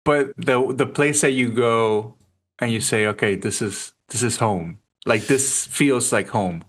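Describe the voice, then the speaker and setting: A man's voice: medium pitch, a little slow, a bit bright. A man with a Spanish accent, co-hosting a casual podcast, asking questions and cutting in with dry teasing remarks. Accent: Spanish-speaking. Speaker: podcast co-host. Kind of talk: casual chat.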